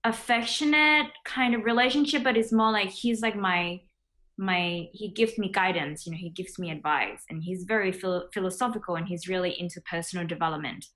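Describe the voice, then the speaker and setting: Young female founder from Thailand, lower voice, casual guest on a video call. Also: fairly quick, a bit bright. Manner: casual, many fillers like "um" and "you know". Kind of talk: interview answers.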